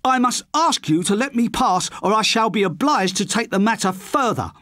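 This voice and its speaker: A stiff private eye who speaks in stilted, overly formal phrases, as a man puts him on. The voice sits well above the narrator's usual pitch, and the recording is close and dry. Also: expressive, normal speed, a bit bright, no room sound. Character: stiff young British private investigator.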